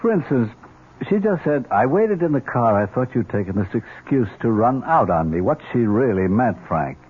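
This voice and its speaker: An actor playing a sly, sardonic uncle in an old-time radio drama, deliberately insinuating and needling. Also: male voice, mid-range voice, sing-song, slightly rough. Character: sly, mocking uncle needling his niece's fiancé. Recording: tinny noisy old radio recording.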